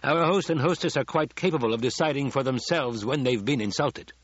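A man voicing a calm, dangerous ecologist who lectures with precise, formal courtesy in an audiobook. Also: mid-range voice, a little fast, no room sound, highs cut off, pitched above the performer's own voice, lightly acted. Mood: formal, courteous. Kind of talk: audiobook character dialogue.

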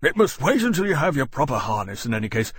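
A man's voice: mid-range voice, big pitch swings, fast talker, a bit bright, husky, dry recording, studio quality, voice pushed up. A man voicing a solicitous, sensible dragon companion in an audiobook, speaking earnestly and attentively. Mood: concerned.

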